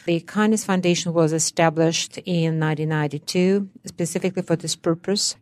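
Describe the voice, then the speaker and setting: A woman's voice: low-pitched, a little mellow, no room sound. A middle-aged woman from Russia with a Russian accent, earnestly explaining things as a guest in a radio studio interview. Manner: earnest, explanatory, non-native grammar. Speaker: guest, education scholar.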